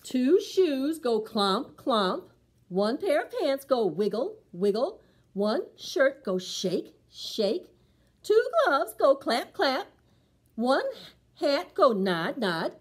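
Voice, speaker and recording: Middle-aged woman, high voice, unhurried pace, dramatic emphasis, preschool storytime read-aloud. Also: sing-song, husky. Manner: deliberate, dramatic emphasis on sound words. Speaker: library storytime reader. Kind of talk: expressive preschool picture-book read-aloud.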